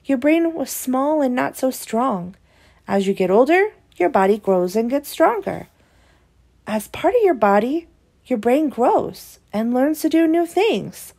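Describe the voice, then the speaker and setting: Woman, high-pitched, creaky edge, expressive children's read-aloud, explanatory. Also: a little slow. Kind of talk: reading a children's picture book aloud.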